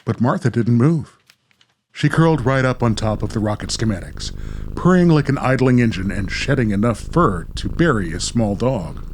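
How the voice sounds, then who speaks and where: A man's voice: mid-range voice, expressive, normal speed, darkish tone, slight background noise. A man hosting and narrating a radio play in a theatrical, dramatic storyteller style. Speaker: host and narrator.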